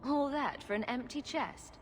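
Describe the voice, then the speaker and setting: Wry adventurer in a reverberant space. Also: female voice, high voice, unhurried, slight background noise. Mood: dry, wry. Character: confident young female adventurer, wry and level-headed. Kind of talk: video game voice acting.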